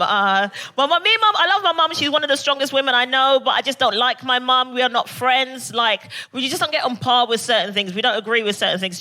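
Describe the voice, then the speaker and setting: A young woman from Barbados works a comedy club crowd with brash, punchy bits and quick back-and-forth. Her voice is bright and forceful, and it carries over a handheld stage mic. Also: a little high. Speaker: stand-up comedian. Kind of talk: stand-up comedy set. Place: comedy club stage before a live audience.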